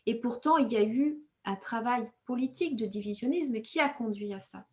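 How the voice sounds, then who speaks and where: A woman from Rwanda, raised speaking Kinyarwanda, giving thoughtful interview answers as an author, measured and pausing often to find her words. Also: fairly high pitch. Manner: thoughtful, measured, with frequent hesitations and self-corrections.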